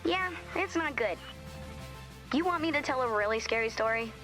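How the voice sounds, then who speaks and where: A woman's voice: high voice, expressive, moderate pace, a bit bright, soft background music. An actress voicing a blunt, sardonic young woman in a spooky video game, with dry, teasing delivery.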